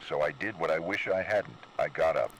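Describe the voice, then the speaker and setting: A man's voice: a bass voice, brisk, poor audio. A man narrating a scripted first-person horror story. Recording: clear background noise.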